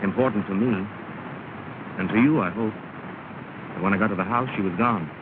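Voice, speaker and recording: An actor playing an earnest young suitor who speaks in a low-key way, in 1930s film style. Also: male voice, moderate pace, close to an everyday voice. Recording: tinny, hissy 1930 film soundtrack.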